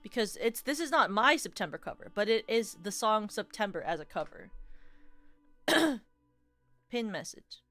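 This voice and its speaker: A woman's voice: medium pitch, sing-song, a little slow, long pauses, sharp tone, soft background music. A young adult woman streamer in casual, rambling livestream chat, playfully teasing her viewers.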